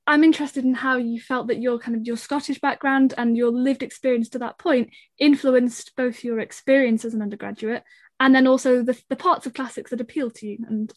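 A woman hosting an interview, asking measured, thoughtful questions. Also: on the high side, no room sound, clean studio sound. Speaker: interviewer host.

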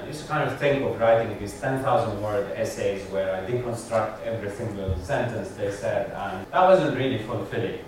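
A man from Lebanon with a Lebanese accent, giving a humorous public lecture as a satirist, with wry joking asides to the audience. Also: medium pitch. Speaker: guest speaker, satirist. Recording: echoey hall, distant mic, audience noise.

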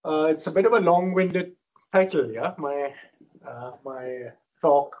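A middle-aged man from India giving a relaxed academic lecture as a visiting professor, self-deprecating and full of fillers. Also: on the high side, a little slow, muffled recording.

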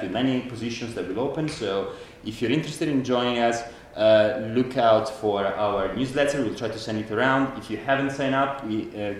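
A man making informal announcements as he opens a seminar as its organiser. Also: fairly low pitch. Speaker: event organiser opening a seminar. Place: echoey indoor room, far from mic. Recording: distant, very echoey room, noisy.

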